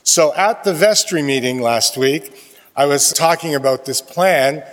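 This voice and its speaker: A man with a fairly high voice addresses a congregation in a chatty, good-humored way. His words ring out through the long reverb of a church sanctuary. He sounds relaxed and friendly, like he is talking with neighbors. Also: a little slow. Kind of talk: casual announcements during a church service.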